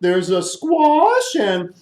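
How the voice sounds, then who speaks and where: A man voicing a big, eager elephant with loud, drawn-out delivery in a children's puppet story. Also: high voice, huge pitch swings, exaggerated voice.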